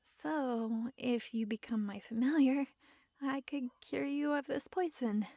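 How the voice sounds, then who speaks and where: A young fox girl played by a woman speaks high and slowly in an intimate ASMR roleplay. She is coy and doting, with a gently possessive, coaxing softness, and her voice has a creaky edge in a very dry, close sound. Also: no echo. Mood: soft explaining. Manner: soft, breathy sighs and giggles, coaxing, hesitant asides.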